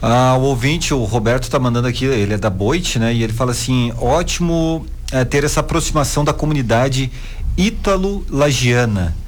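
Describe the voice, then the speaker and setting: A relaxed, chatty male radio host from southern Brazil, reading out listener messages and asking his guests questions. His voice sits in a medium pitch, with a faint hum of ambient noise underneath. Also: normal speed. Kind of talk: casual radio interview.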